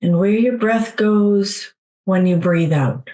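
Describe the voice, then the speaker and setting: A woman leading a guided meditation in a soothing, gentle, unhurried way, leaving long pauses between phrases. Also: mid-range voice, low-quality recording.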